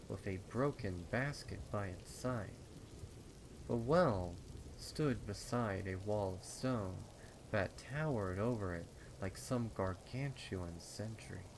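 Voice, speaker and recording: Low slow guy reading a creepy story, dramatic and ominous, rain behind him. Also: noisy background. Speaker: fan fiction narrator. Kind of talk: dramatic horror story narration. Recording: rain and storm ambience behind.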